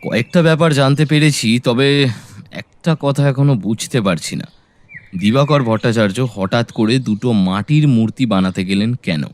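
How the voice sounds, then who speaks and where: A man acting a sharp, resolute detective in an audio drama, speaking firmly and deliberately as he reasons aloud. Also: mid-range voice, lightly acted. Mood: pensive, resolute. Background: background music.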